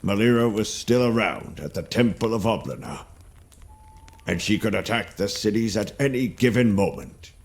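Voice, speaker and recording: A man playing a kindly older storyteller, narrating a video game tale in an expressive, gentle way. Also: on the low side, moderate pace, natural voice. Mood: gentle, reassuring. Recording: long reverb, faint music behind.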